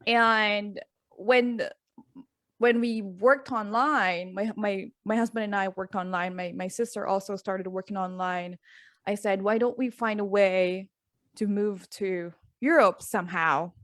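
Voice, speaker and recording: A middle-aged woman from the Philippines, a guest coach, telling her story openly in a relaxed interview, with many fillers and restarts. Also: medium pitch, unhurried, dry recording, studio quality. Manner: earnest, open, with frequent fillers and restarts. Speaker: guest, life and business coach.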